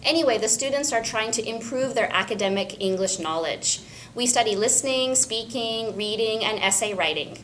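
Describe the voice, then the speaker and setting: Woman english teacher explaining a test tip, clear and practical, bit of faint noise. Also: on the high side, moderate pace, a bit bright. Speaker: English instructor. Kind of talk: teaching tip for a school video.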